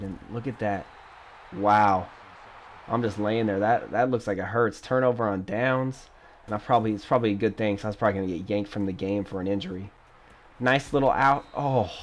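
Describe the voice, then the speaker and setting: A young man with a stuffy nose from a cold, giving casual, excitable commentary over his own football video game play. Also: mid-range voice, slight background noise. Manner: excitable, trash-talking, frequent groans. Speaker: gaming YouTuber.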